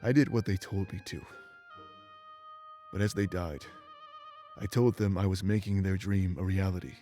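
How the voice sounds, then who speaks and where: Man voicing ancient game warrior, low pitch, solemn and bitter, measured confessional delivery, music behind. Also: fairly even intonation, dark tone, close to an everyday voice. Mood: regretful. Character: ancient immortal former rebel warrior, remorseful and weary man. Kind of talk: dramatic game voice acting, confessional monologue.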